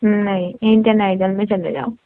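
A woman's voice: medium pitch, fairly even intonation, moderate pace. A woman chatting casually on a recorded phone call in a playful, teasing manner.

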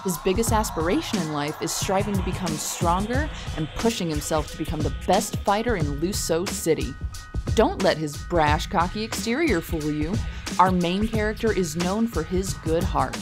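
A woman's voice: lowish voice, normal speed. A woman reading scripted promotional narration as a narrator. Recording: loud music under the voice. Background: loud music.

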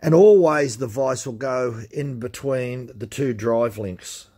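A middle-aged man talks through a hands-on workshop demonstration, plain-spoken and practical, with plenty of fillers. His voice is husky and mellow. He is picked up by the camera filming his hands at the bench. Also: mid-range voice, takes it slowly. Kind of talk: casual hands-on tool demonstration. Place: indoor workshop at a cluttered workbench. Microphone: camera mic filming his hands up close.

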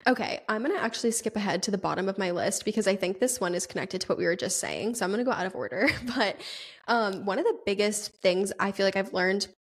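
A woman's voice: mid-range voice, expressive, fast, husky. Rambling giggly woman in her twenties. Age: 20s. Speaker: podcast co-host. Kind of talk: casual chat.

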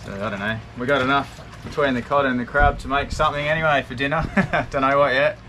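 A man's voice: medium pitch, steady tone. A man in his thirties with an Australian accent, chatting casually while crabbing from a small boat. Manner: laid-back, laughing, unhurried. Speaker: sailing vlogger. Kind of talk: casual vlog narration. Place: small dinghy on open water. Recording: loud engine and wind noise.

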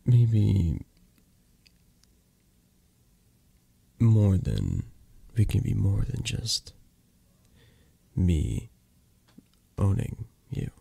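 A man playing a flirty, possessive, tender mafia boss in an intimate ASMR roleplay, hushed and halting, drifting into whispers. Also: lowish voice, very animated, creaky, husky, dry recording, full character voice. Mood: soft, possessive. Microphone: close microphone, dry sound.

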